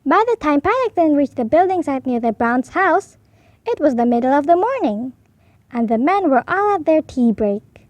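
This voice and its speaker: A woman reading a children's storybook aloud as an expressive narrator. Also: high-pitched, normal speed, no echo, lo-fi sound. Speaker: storybook reader.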